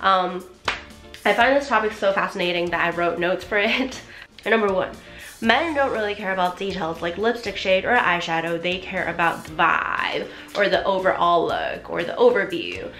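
A woman in her twenties talking to camera in a bedroom, animated and chatty with lots of fillers, her voice half gone. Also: fairly low pitch, normal speed, soft background music. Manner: animated, chatty, gestures a lot, many "like" fillers. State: losing her voice. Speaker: vlogger. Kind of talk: casual talk to camera. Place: small bedroom indoors.

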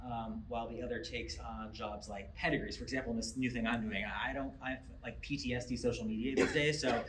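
A man leads a panel on a handheld mic, asking casual follow-up questions. His voice carries around a large meeting room with a clear echo. A steady hum of background noise sits underneath. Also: mid-range voice, fairly quick. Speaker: panel moderator. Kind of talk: panel discussion.

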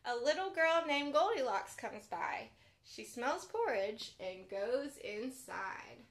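A woman's voice: fairly high pitch, very animated, takes it slowly. A young woman hosting a children's storytime, reading a picture book aloud expressively and addressing her young listeners directly. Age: young adult. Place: bedroom, sitting up in bed.

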